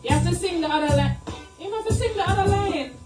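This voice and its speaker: A woman's voice: extremely high pitch, fast talker. A Jamaican woman chatting casually as co-host, teasing and laughing easily. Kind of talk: casual chat. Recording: echoey room from far off with music.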